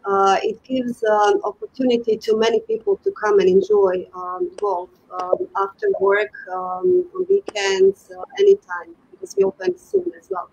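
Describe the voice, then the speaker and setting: Middle-aged female interview guest, Croatian accent, very high-pitched with creak, conversational delivery. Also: moderate pace, hardly any pauses, a little mellow, highs cut off. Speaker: guest, golf club sales and marketing manager.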